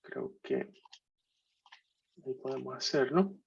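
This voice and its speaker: A Puerto Rican man gives a talk over a video call, his voice pitched in an everyday middle range. He speaks with a joking ease, stumbling through fillers and restarts. The audio is slightly muffled and dull. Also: slow, vocal fry, slightly rough. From Puerto Rico. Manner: jocular, hesitant with many fillers and restarts. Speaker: guest speaker. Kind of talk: webinar talk.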